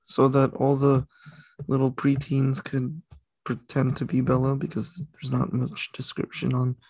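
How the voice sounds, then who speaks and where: Young man, podcast co-host, rambling casual chat, gravelly voice. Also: medium pitch, monotone, unhurried, darkish tone, no echo. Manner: rambling, self-correcting.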